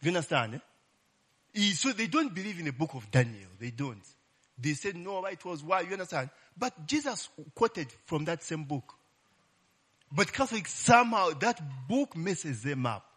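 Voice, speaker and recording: A male preacher teaches from scripture in a loose, conversational way. His voice is bright and slightly high, swinging widely in pitch as he tosses "eh?" and "Hallelujah" to the congregation. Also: big pitch swings, moderate pace, slightly rough. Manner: call-and-response, frequent "eh?" tag questions; exclaims "Glory be to God" and "Hallelujah". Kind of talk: casual Bible teaching sermon.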